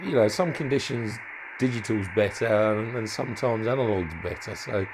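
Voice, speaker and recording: A man's voice: fairly low pitch, normal speed, noisy background. A man giving an informal hands-on demonstration as a hobbyist, thinking aloud in a hesitant way and often trailing off. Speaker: hobbyist presenter demonstrating modified radio gear.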